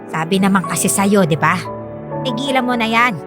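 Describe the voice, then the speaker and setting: A woman's voice: mid-range voice, animated, moderate pace, lightly acted. A woman acting a drunken, bitter middle-aged mother in a Filipino radio drama, scornful and hectoring, with a Filipino accent. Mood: drunken, irritable. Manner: slurring taunts, scornful, hectoring. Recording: music bed under the voice.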